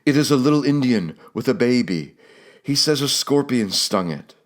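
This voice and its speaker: A man's voice: medium pitch, animated, normal speed, voice pushed up, lightly acted. A man voicing a guarded, deferential servant and gatekeeper with brief, formal speech in an audiobook.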